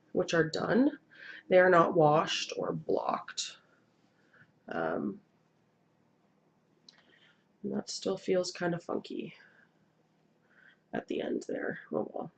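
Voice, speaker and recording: Sniffly woman with a gravelly low voice. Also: darkish tone. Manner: rambling, hesitant with many ums, self-deprecating. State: sniffly from a cold. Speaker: knitting podcast host. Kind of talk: casual video podcast chat. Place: living room, seated on a couch indoors. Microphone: webcam at desk distance.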